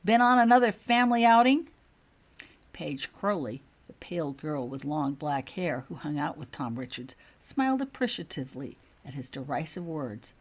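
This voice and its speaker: A woman narrating fiction in an expressive storybook style, reading as the author of the tale. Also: low voice.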